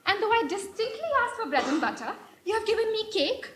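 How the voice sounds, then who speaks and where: Woman playing haughty Victorian young lady, very high pitch, icily polite, declamatory, stage play, echoey hall. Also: big pitch swings, brisk, fairly bright tone, slight background noise, heavily acted. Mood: offended, icy. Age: young adult. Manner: formal, declamatory, indignant. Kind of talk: amateur stage play performance.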